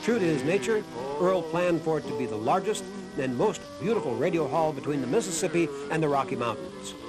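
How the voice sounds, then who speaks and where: A man's voice: on the high side, animated, fairly quick, low-quality recording. A man reading a scripted historical documentary narration. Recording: narration over background music. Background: music.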